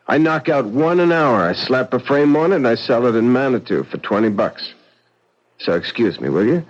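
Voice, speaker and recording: An actor playing a sardonic, mocking painter in a vintage radio mystery. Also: male voice, mid-range voice. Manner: mocking and sly, chuckling often. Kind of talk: vintage radio drama acting. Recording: dull old radio broadcast.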